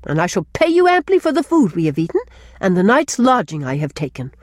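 A woman's voice: medium pitch, huge pitch swings, brisk, dry recording, clean studio sound, voice pushed down. A woman voicing a haughty young woman in an audiobook, speaking formally with sarcastic dignity. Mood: haughty anger. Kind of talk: audiobook character voice.

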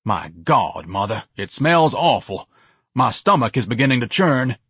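A man's voice: medium pitch, big pitch swings, a little slow, fairly bright tone, husky, no echo, voice pushed up, exaggerated voice. Guy doing a big pompous whiny hypochondriac for an audiobook, formal and grand, dry close sound. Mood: suffering. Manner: grandiose, over-formal, complaining. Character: pompous, whiny, hypochondriac grown son, very large. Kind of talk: audiobook character voice.

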